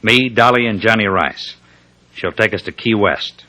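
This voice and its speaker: An actor playing a hard, wily undercover agent with an American accent and a clipped, insistent delivery, as in vintage radio drama. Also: male voice, fairly low pitch, normal speed, pushed and bright, rough recording. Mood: firm, insistent. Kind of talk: 1940s-50s American radio drama acting.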